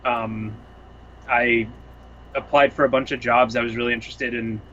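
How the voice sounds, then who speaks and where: A man's voice: mid-range voice, little pitch movement, moderate pace, fairly bright tone, highs cut off, slight background noise. A young man from the Seattle area, a music producer guest chatting casually on a couch at home, rambling thoughtfully with lots of fillers. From Seattle area, US Pacific Northwest. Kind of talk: casual chat.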